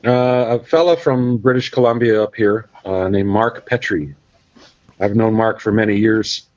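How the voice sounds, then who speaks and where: Canadian musician guest, easygoing filler-heavy chat, muffled dry recording. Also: male voice, fairly low pitch, measured pace, no echo. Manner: relaxed, rambling with many fillers. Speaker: podcast guest, bassist. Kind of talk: casual interview chat. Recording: dull, dry sound.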